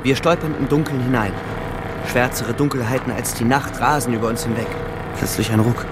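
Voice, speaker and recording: An actor playing a young German soldier who narrates the horrors of the front in terse, intense fragments for a radio play. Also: male voice, mid-range voice, steady tone, fairly quick, very noisy, natural voice. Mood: tense. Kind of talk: dramatic radio play narration in present tense. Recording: loud war effects and music underneath. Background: music, battle sound effects.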